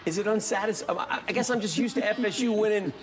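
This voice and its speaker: A middle-aged man co-hosting a studio sports show, narrating highlights and agreeing often with his partner. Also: fast talker. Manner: upbeat, agreeable, frequent "yeah". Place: TV news studio.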